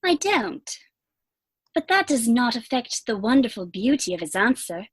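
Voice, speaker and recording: A prim, romantic young girl, played by a woman, speaks in a high voice with lively swoops. She sounds eager and well-bred, in a dry, close recording of a comedy reading. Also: moderate pace, husky, dry recording. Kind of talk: dramatic reading of a period stage comedy.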